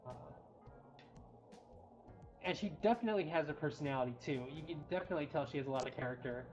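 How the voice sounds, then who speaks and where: Low-voiced woman co-hosting a casual stream. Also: expressive, fast, a little mellow. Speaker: cat cafe livestream co-host. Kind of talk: casual live showcase chat. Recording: dull lo-fi livestream audio. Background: faint music.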